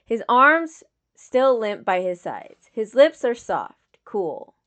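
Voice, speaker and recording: Woman narrating fiction in measured tones. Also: on the high side, very animated, a bit bright. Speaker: audiobook reader of fan fiction. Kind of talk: scripted dramatic fiction narration.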